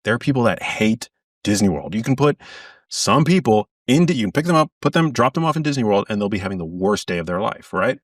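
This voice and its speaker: A man's voice: fairly low pitch, sing-song, fast talker, no room sound, studio quality, far from the mic. A man guesting on an interview podcast as an author, talking earnestly in bursts with frequent restarts. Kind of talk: casual interview chat.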